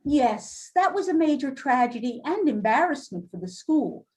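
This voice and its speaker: A woman's voice: sits high, sing-song, moderate pace, darkish tone. A woman playing a defensive, businesslike school administrator in an amateur readers theater reading.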